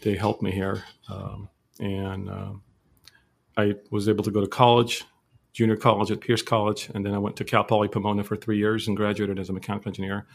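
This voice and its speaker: A low-voiced man in his forties, from Lebanon, recounting his life to interviewers. His delivery is flat and even, measured and unhurried, with plenty of ums and uhs. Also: gravelly. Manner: steady, measured storytelling with frequent fillers. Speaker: guest. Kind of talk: casual interview.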